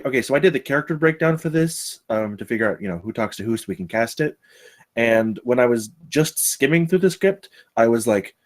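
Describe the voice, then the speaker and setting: A man giving casual spoken feedback on a script, chatty and rambling, often interrupting himself. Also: mid-range voice. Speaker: table read participant giving script feedback.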